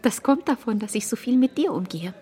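A woman's voice: a little high, expressive, a little fast, natural voice. A woman playing a confiding motherly friend. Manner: confiding, eager, hushed. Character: motherly older friend, eager matchmaker. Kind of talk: radio play acting.

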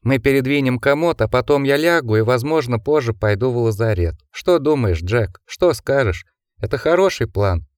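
A man's voice: medium pitch, lively intonation, normal speed, clean tone, dry recording, studio quality, close to an everyday voice. A man voicing a feverish, frightened young man who pleads and whimpers. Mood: pleading.

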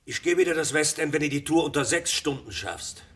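An actor playing a demanding, impatient delivery boss in a radio drama, curt and businesslike. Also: male voice, a little high, animated, fairly quick, fairly bright tone. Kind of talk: German radio drama dialogue.

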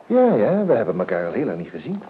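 An actor playing a nervous, evasive, eccentric gentleman in a radio play, stammering and repeating himself with ironic courtesy. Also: lowish voice, huge pitch swings, quick pace, husky, exaggerated voice. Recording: tinny, band-limited old radio recording with noise.

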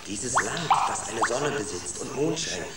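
A man's voice: mid-range voice, animated, unhurried, very noisy, lightly acted. Man playing an eager little rat, very echoey. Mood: pleading. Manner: pleading, wide-eyed questioning. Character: eager, curious little young male rat. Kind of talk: children's radio play acting. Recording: very echoey, distant, voices behind.

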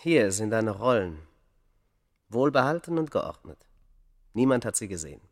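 A man's voice: fairly low pitch, big pitch swings, moderate pace. A man playing a guarded, tense scholar in an ancient Egyptian radio drama, evasive and hesitant, with formal diction. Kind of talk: radio play acting.

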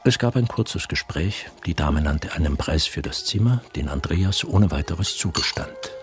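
A man narrating a literary radio drama from a script in formal, measured storytelling sentences. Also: deep voice, expressive. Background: music.

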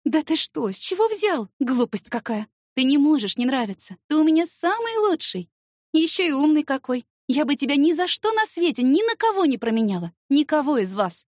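Lady doing a quick-tempered mom in a romance audiobook, high and really animated. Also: no echo, clean studio sound. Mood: tender, reassuring. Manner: expressive, exclamatory, stammering when flustered. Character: feisty, flustered mother and secretary, quick-tempered, tender.

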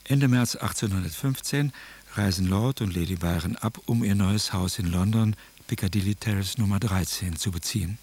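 A man giving factual, measured scripted historical narration as the narrator of a radio drama. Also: fairly low pitch, a little mellow, husky.

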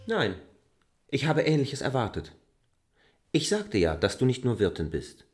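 A man's voice: mid-range voice, huge pitch swings, unhurried. Shrewd probing guy, radio drama, pointed and knowing, voice moves all over. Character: shrewd, probing male stranger challenging the innkeeper. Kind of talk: German radio drama acting.

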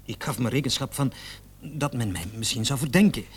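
A man's voice: medium pitch, fairly quick, close to an everyday voice. A man acting in a radio drama as a nervous, evasive salesman under questioning, stammering and repeating himself. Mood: anxious, pleading. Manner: stammering, flustered, repeats words. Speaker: suspect under police questioning. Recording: noisy old radio play recording.

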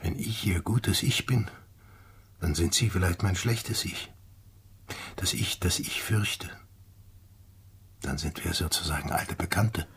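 An actor playing a bitter, stubborn accuser, insistent and sardonic, in a radio drama. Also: male voice, deep voice, slow pace, pauses often, a bit bright, breathy edge, in a whisper, exaggerated voice. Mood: quietly menacing. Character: bitter, stubborn man accusing a village of murder.

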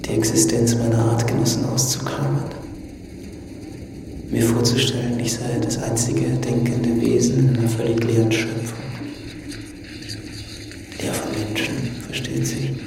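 A man's voice: medium pitch, flat delivery, unhurried, pauses often, lightly acted. A man acting a solitary, bleakly reflective last survivor in a literary radio drama monologue, speaking introspectively in short phrases. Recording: echoey reverberant hall with clear noise.

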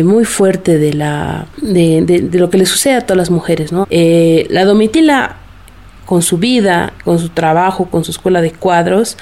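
A woman's voice: lowish voice, dark tone. A woman giving a spontaneous, explanatory interview answer as a researcher, feeling for her words. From Bolivia.

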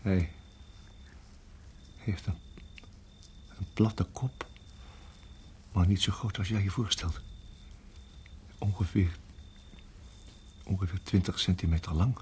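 A man acting in a radio play as a protective husband, speaking in a halting, urgent hush. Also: sits low, fairly even intonation, slight background noise. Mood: tense, hushed. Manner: hushed, halting, urgent whispering. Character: tense, protective husband guiding his endangered wife.

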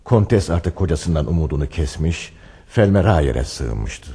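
A reverberant, deep and husky storyteller for a radio drama. His solemn narration swings expressively in pitch. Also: animated, warm tone, heavily acted. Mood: solemn, wistful. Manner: measured, dramatic. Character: solemn male storyteller narrating a wartime romance.

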